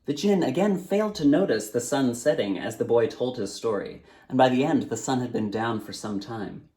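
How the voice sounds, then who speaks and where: A man reading a storybook aloud as its author, in a dramatic, measured narrating style. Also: medium pitch, animated, warm tone.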